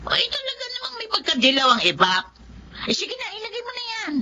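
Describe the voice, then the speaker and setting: A woman's voice: very high voice, huge pitch swings, fast, dull audio, noisy background, heavily acted. An actress playing a brazen, evasive Filipino woman who runs a cheap eatery, exclamatory and defensive in radio drama style. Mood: bossy, casual.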